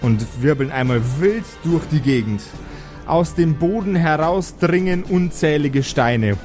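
A man's voice: a little high, expressive, unhurried, a little mellow, soft background music. A man acting as game master, narrating an improvised tabletop role-playing game with dramatic storytelling between casual rules talk.

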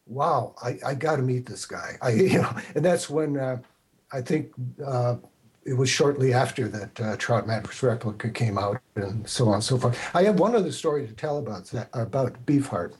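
An older man telling stories casually as a podcast guest, in a halting, circling way. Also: medium pitch, a little mellow, slightly rough. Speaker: podcast guest, radio producer. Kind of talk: casual storytelling.

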